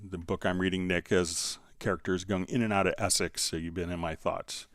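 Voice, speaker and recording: Low-voiced man hosting a software tutorial. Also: level tone, moderate pace, no room sound, clean studio sound. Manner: conversational, step-by-step explaining. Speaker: livestream host, software instructor. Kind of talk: casual live tutorial, answering viewer questions.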